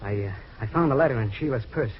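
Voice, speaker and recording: An actor playing a nervous, paranoid husband who pleads anxiously and urgently, in an old-time radio drama. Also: male voice, mid-range voice, expressive, fast talker, lightly acted. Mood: desperate, pleading. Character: nervous, paranoid husband begging a detective for help. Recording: dull, noisy vintage radio recording.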